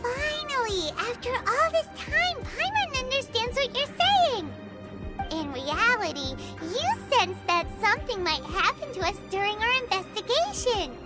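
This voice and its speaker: A woman voicing a tiny, chatty, indignant fairy companion in a video game, with a squeaky childlike voice. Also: very animated, unhurried, full character voice. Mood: triumphant, teasing. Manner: refers to self in third person, exclamatory. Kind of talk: anime-style video game voice acting. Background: game music.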